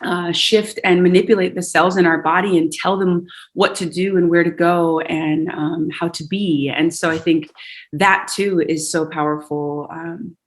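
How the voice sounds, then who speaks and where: A woman's voice: on the low side, fairly even intonation, measured pace. A woman from Hawaii, a singer and yoga teacher, talking in an earnest, reflective way as a podcast guest. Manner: earnest and reflective, with fillers and restarts. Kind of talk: casual conversation.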